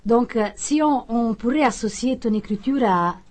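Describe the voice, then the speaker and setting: A woman's voice: a little high, moderate pace, a little mellow. Italian-born lady interviewer, friendly, kinda hesitant, radio chat.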